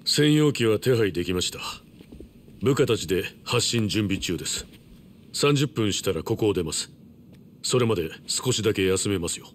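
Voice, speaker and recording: Game casting: male actor as suited underworld fixer, polite, composed, lowish register. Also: normal speed, a little hiss or hum, close to an everyday voice. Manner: polite, formal, calm. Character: composed, courteous shadowy organisation agent in suit. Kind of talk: game cutscene acting. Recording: clear guitar music behind. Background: guitar music.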